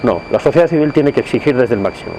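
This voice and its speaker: A man giving his opinion in a recorded message, speaking haltingly and deliberately as he searches for words. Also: mid-range voice, lively intonation, warm tone, slight background noise, rough recording. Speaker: civil society commentator in a video message. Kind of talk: spoken opinion to camera.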